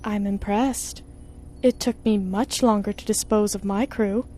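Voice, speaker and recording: A woman's voice: mid-range voice, fairly even intonation, unhurried, mellow, a little hiss or hum, natural voice. An actress playing a polite, coy and murderous female ship's artificial intelligence in a 1950s-style space radio serial. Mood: polite, intrigued. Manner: courteous, coy.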